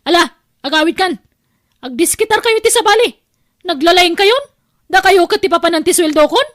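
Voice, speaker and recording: A woman with a Filipino accent playing a stingy, sharp-tongued aunt who scolds in an audio drama. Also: very high-pitched, lively intonation, normal speed, no room sound, studio quality. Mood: scolding, indignant. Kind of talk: Ilocano audio drama acting.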